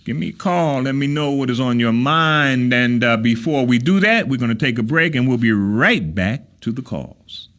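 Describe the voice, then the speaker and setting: An American man hosting a talk radio show, speaking casually and haltingly, often repeating words as he searches for the next one. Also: mid-range voice, expressive, fairly bright tone. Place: radio studio.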